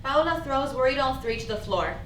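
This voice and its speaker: A woman's voice: high-pitched, lively intonation, fairly quick, a bit bright. A woman reading stage directions as scripted narration at a live staged reading. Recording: distant mic, echoey room, clear background noise.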